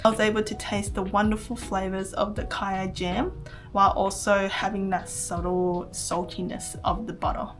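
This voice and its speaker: A young woman with an American accent, reading a scripted travel vlog voice-over and recommending meals one by one. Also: mid-range voice. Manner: earnest, recommending, reads list items. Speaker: travel vlogger.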